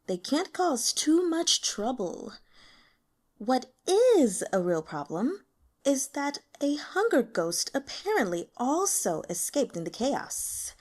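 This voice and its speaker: A flirtatious, sibilant woman from another world, put on by a female audiobook narrator. Her voice sits high and swoops freely, with final syllables dragged into a hiss. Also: big pitch swings, slow pace, a little mellow, heavily acted. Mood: flirtatious, exasperated. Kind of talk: audiobook character acting.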